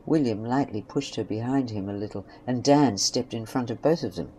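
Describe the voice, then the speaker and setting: A woman's voice: rumbling low, normal speed, mellow, poor audio. A woman reads a scripted western story as narrator. Faint ambience and noise sit behind the voice.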